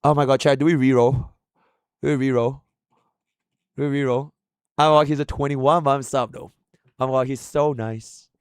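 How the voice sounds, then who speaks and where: A man from Singapore, live-streaming casual chat with his viewers, excitable and slangy, swinging between hyped outbursts and low mumbles. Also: moderate pace, pauses often, clear, resonant tone, no room sound.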